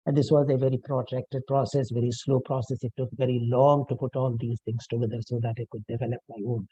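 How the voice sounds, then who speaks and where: Older man, formal academic talk, dark voice. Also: medium pitch, slightly rough, no echo, muffled recording. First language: Urdu. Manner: measured, formal, with frequent "uh" pauses and self-corrections. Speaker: author presenting his book.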